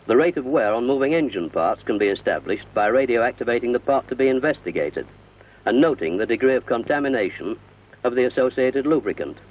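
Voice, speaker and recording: A British man narrating a scripted 1960 industrial newsreel in a formal, measured, explanatory manner, recorded as a voice-over. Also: medium pitch, expressive, slight background noise. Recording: muffled, narrow-band vintage film soundtrack.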